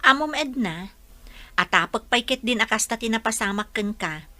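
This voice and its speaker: A woman's voice: fairly high pitch, animated, normal speed, bright, forceful tone. A woman playing a caring older village mother in a radio drama, coaxing and advising. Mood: concerned, advising.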